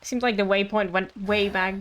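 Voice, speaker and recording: A woman's voice: mid-range voice, steady tone, normal speed, fairly bright tone. A woman game streamer chatting casually and directly to her viewers during gameplay. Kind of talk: casual livestream chat over gameplay. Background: faint game music.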